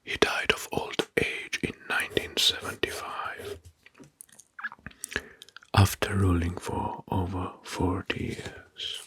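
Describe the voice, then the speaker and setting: Slow, whispering man doing ASMR narration. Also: low voice, completely flat delivery. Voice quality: breathy, hushed whisper. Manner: slow, deliberate, repeats words softly.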